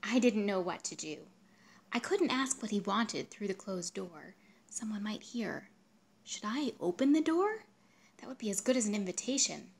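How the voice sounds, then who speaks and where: Female literary reader, mid-pitched, expressive and dramatic storytelling delivery. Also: normal speed, slightly rough. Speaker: translator reading their own literary translation.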